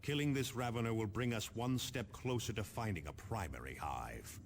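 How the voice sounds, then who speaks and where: An actor playing a formal, resolute space marine captain giving mission briefings in a video game. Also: male voice, on the low side, animated, heavy background noise. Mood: measured. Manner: formal, commanding, deliberate.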